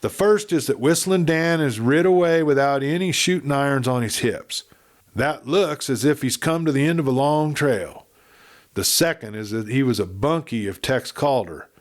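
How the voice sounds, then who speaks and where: A man's voice: medium pitch, moderate pace, lightly acted. Gray-haired western cowpuncher, acted by a male reader: plain-spoken, folksy, firm, declaring to a crowd. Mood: emphatic, decisive. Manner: folksy, declarative frontier speech. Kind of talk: western storybook character speech.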